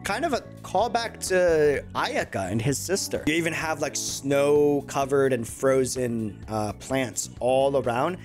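A young adult man giving excited, slangy, effusive casual reaction commentary on a video game at his desk. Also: medium pitch, a little slow, few pauses. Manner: effusive, slangy, uses bro and dude. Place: small indoor room at a desk. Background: game trailer music.

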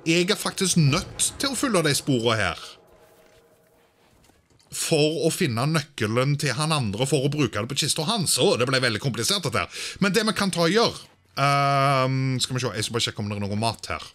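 A man's voice: medium pitch, a bit bright. A man doing casual let's-play commentary, thinking aloud and sprinkling in English exclamations. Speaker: gaming video host.

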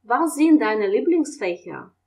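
Slow, clear woman teaching German. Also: fairly high pitch, big pitch swings, a little mellow. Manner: reads German questions and answers slowly and clearly, explains in Russian. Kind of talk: language lesson, slow model dialogue.

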